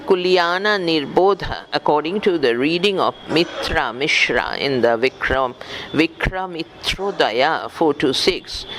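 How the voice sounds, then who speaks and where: A man with a fairly high voice reads scholarly text aloud at a slow, methodical pace. His pitch rises and falls in a very lively way as he voices references and punctuation. There is faint noise behind him. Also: poor audio. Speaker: audiobook reader for visually handicapped listeners. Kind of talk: read-aloud of an academic book and its footnotes, spelling out references.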